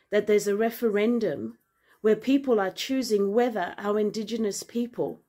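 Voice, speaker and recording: A woman raised in Zambia speaks straight into her phone, held at arm's length, in a light-filled room full of plants. Her voice is middle-pitched. Her delivery is earnest and deliberate, with a firm edge of conviction. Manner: earnest, measured, grows forceful and indignant. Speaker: vlogger and author. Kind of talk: impassioned personal address to camera. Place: indoor room with large windows and plants. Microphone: phone camera at arm's length.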